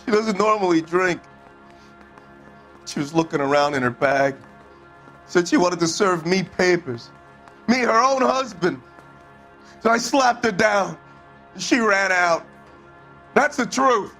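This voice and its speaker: An actor playing a defensive, hot-tempered husband under police questioning in a crime video game, answering bluntly. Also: male voice, high voice, lively intonation, measured pace, long pauses, sharp tone, soft background music, exaggerated voice. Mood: agitated, defensive. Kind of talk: acted dramatic video game dialogue.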